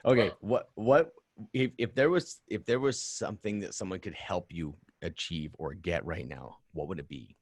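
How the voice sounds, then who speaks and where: A man's voice: low voice, normal speed, dry recording, clean studio sound. A man hosting a casual interview in an enthusiastic, affirming way. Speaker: podcast host.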